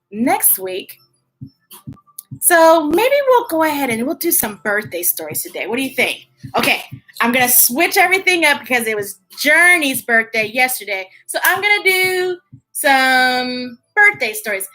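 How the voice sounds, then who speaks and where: A woman's voice: sits high, pushed and bright, low-quality recording. An American woman chatting casually and playfully to young viewers as a children's story time host, stretching words for emphasis.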